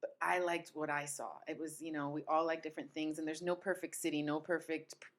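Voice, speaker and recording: A woman who grew up in Italy and Tampa, a guest on a podcast, telling her story softly and laughing easily. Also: low voice, flat delivery, a little fast. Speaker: podcast guest, food tour business owner. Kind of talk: casual interview storytelling.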